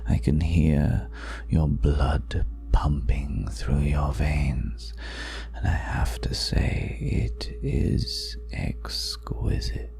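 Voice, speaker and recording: A man playing a seductive, ageless vampire in an intimate ASMR roleplay, speaking slowly and half in whispers, teasing with quiet menace, close to the microphone. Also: level tone, soft background music, full character voice. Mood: hungry, seductive. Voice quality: very deep, gravelly. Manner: slow, breathy half-whispered delivery with lingering pauses.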